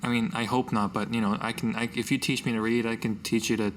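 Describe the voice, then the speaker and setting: A man playing a scrawny, stubborn boy rogue in a tabletop game, whiny and halting, hedging as he goes. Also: mid-range voice, level tone, close to an everyday voice. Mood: sheepish, halting.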